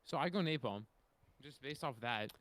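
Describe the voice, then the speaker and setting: A man chatting casually as a podcast panelist, agreeable and quick to concede a point. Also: medium pitch, animated, a bit bright, no echo. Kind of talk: casual chat.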